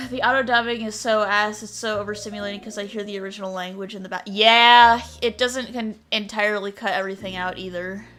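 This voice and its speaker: A woman's voice: mid-range voice, fairly even intonation, a little fast, few pauses, a bit bright, soft background music. A woman chatting casually on a livestream in a blunt, exasperated way with some swearing. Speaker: video creator and streamer. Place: at a desk, in a VR chat.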